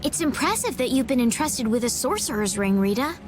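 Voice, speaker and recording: High-voiced, polite young noblewoman. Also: sing-song, a little fast, over music. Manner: courteous, formal, gentle. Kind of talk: English video game voice acting.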